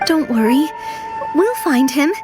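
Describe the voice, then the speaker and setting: A woman's voice: high voice, animated, a little slow, music in the background. An actress playing a relentlessly sunny young woman adventurer, with a chirpy, earnest, theatrical way of talking. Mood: earnest, encouraging.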